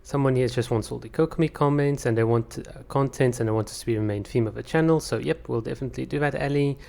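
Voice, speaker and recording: A man's voice: mid-range voice, fast, vocal fry, dark tone. A man hosting his channel, reading out viewer comments and chatting about them casually, with lots of fillers and restarts; English is not his first language. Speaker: channel host.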